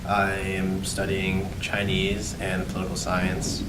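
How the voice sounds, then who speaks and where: A young man speaks in a measured, somewhat low voice. He sits away from the mic in an echoey room. Heavy background noise sits under his voice. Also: completely flat delivery, distant mic. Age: young adult. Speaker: student.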